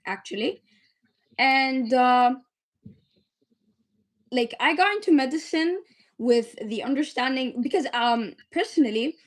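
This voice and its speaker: Earnest, higher-voiced young woman guest. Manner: earnest, chatty, many fillers. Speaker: guest, medical student and startup founder. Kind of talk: interview, telling her story.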